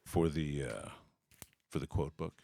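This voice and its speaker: A man's voice: rumbling low, animated, a little slow, gravelly, warm tone, no room sound. A man bantering casually as a stream co-host, joking and rambling in a mock-earnest way.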